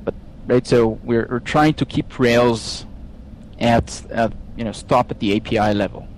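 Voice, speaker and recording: A man from Israel with an Israeli accent, speaking English as a guest in a technical interview, with frequent pauses, fillers and restarts. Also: medium pitch, a little mellow, a little hiss or hum, poor audio. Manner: hesitant, many fillers and restarts. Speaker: software engineer guest.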